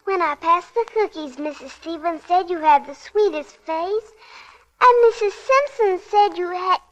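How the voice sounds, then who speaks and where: Chatty, innocent little girl, very high-pitched, 1940s radio ad, dull lo-fi recording. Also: unhurried. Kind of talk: 1940s radio commercial acting.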